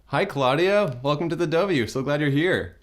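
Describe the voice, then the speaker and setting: A man hosting a studio interview show, asking measured questions in a welcoming manner. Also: a little high, animated. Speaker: host.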